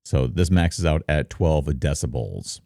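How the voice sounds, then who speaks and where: Extremely deep, gravelly-voiced man. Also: expressive, a little slow, dark tone, no room sound, studio quality. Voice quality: very deep, rumbling. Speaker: content creator, streamer. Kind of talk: casual explainer to viewers. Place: home office. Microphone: close studio microphone.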